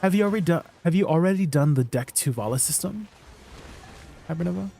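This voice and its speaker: Gravelly Canadian man, casual streamer. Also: a little high, fairly quick, slight background noise. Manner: relaxed, rambling, trailing off at line ends. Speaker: game streamer.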